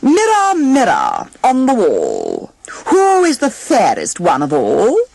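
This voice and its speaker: A wicked, vain storybook queen, acted by a woman narrator, commanding and jealous. Her voice lifts high and loud above plain speech, grand and imperious. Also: wildly swooping pitch, slow pace, creaky, breathy edge, no room sound, exaggerated voice. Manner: imperious, screeching when enraged.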